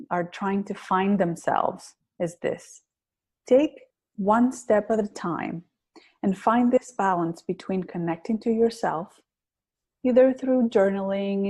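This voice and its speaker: A woman's voice: mid-range voice, a little mellow. A woman with a heavy Greek accent, a public speaking coach being interviewed on a podcast, talking in an earnest, reflective way with some hesitations. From Greece.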